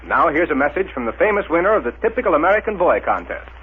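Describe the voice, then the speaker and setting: A man's voice: a little high, very animated, fast, slight background noise. A man announcing in emphatic, showmanlike 1930s radio style, reading scripted narration and sponsor copy. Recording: thin, phone-like old radio recording.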